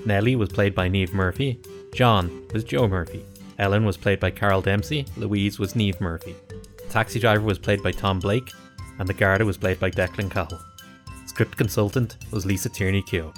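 Fairly deep male credits announcer. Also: moderate pace, faint music behind. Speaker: closing announcer. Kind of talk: scripted credits narration.

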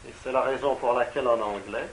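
A man's voice: medium pitch, fairly even intonation, fast talker, rough recording. A man translating a spiritual talk into French, hesitant and often stopping on fillers. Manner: hesitant, frequent fillers, repeats words. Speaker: interpreter. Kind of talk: consecutive interpretation into French.

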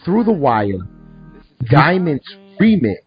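A man's voice: a little high, sing-song, takes it slowly, highs cut off, faint music behind. A man hosting a casual podcast chat about rap songs, laid-back and swearing now and then. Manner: laid-back, swears, says "my bad" and "word up" a lot. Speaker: podcast host.

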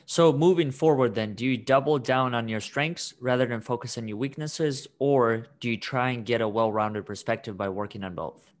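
A man hosting a podcast, asking thoughtful, measured questions in a casual interview. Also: mid-range voice. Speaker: podcast host.

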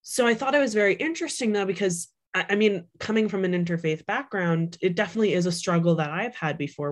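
Young adult woman, lower pitch, chatty interview guest who fills with ums and restarts. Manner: chatty, many fillers and restarts, laughs often. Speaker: author guest. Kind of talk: casual interview talk.

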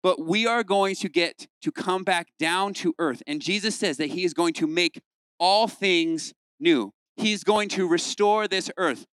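A young man gives a lively, joking sermon to a youth group in a fairly high, crisp voice. The sound is close and dry, with no room around him. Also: measured pace, no room sound. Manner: lively, playful, acts out quoted voices. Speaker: youth pastor.

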